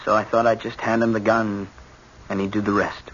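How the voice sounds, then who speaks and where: An actor playing a troubled, remorseful young son in a radio drama, speaking haltingly and questioning himself. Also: male voice, fairly low pitch, little pitch movement, a bit bright, close to an everyday voice. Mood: remorseful, bewildered. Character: troubled, remorseful son recovering after a breakdown. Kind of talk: 1970s radio drama acting. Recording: muffled vintage radio recording, faint hiss.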